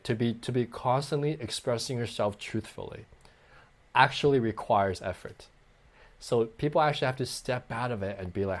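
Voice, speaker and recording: A man giving dating advice in a conversational way, often acting out sample lines and checking in with "okay" and "right". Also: mid-range voice. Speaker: dating coach guest.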